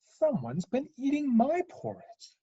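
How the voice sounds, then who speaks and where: A woman's voice: high-pitched, wildly swooping pitch, measured pace, dark tone, husky, highs cut off, pitched above the performer's own voice, full character voice. A woman voicing a medium-sized, aggrieved mother bear from a storybook, complaining with emphasis.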